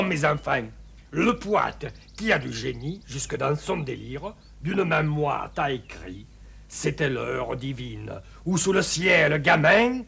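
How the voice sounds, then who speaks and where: An actor playing a pompous, theatrical poetry lecturer who addresses children in a grandiloquent, emphatic declamation, savouring each word. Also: male voice, a little high, creaky, fairly bright tone, heavily acted.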